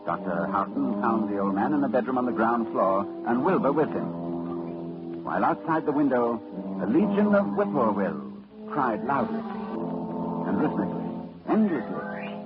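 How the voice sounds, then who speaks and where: Grave old-radio narrator, very expressive intonation, music underneath, muffled vintage sound. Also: male voice, lowish voice, moderate pace. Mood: solemn. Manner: grave, dramatic storytelling. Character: learned librarian narrator, grave, middle-aged man. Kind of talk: 1940s radio acting.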